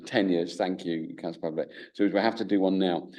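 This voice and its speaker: A man chairing a council committee meeting speaks in a very low, mellow voice, set a little back from the microphone. He talks haltingly, looping through restarts and fillers as he sums things up. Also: fairly even intonation, distant mic.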